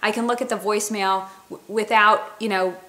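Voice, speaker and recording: A woman in her thirties talks casually into a close webcam in her kitchen. Her voice sounds bright and forward, and she chats along with frequent fillers and restarts. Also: medium pitch, fairly even intonation, moderate pace. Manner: chatty, many fillers and restarts. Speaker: company representative. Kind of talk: casual product walkthrough. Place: home kitchen, indoors. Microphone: webcam at close range.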